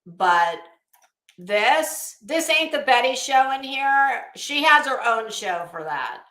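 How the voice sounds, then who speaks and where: A woman's voice: high voice, big pitch swings, slow pace, pushed and bright. A woman livestream host on a casual rant to her chat, blunt and coarse, with an emphatic, sarcastic, challenging delivery. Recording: echoey room.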